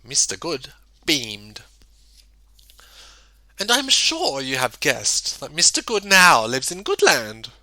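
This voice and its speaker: A man reading a children's story aloud in an expressive, theatrical way, with emphatic delivery and dramatic pauses. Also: a little high, no echo. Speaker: storybook reader.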